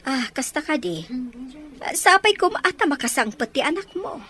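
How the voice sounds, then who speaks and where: Sympathetic woman, canteen keeper, Ilocano accent, high-pitched, kindly, radio drama acting. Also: sing-song, normal speed, bright, forceful tone, full character voice.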